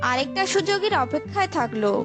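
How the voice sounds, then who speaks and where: A woman's voice: extremely high pitch, expressive, fast talker. A woman narrating a scripted story for children as a storyteller. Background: prominent music.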